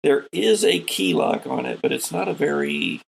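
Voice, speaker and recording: A man with a gravelly, husky voice, narrating a tabletop role-playing game as game master in an unhurried, descriptive way. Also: fairly high pitch, huge pitch swings, a little hiss or hum.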